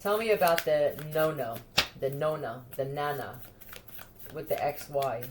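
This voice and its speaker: A woman's voice: sits low, expressive, a little slow, background noise. A woman talking in a scattered, profane monologue, addressing unseen listeners directly. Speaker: video creator. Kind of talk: rambling monologue to camera.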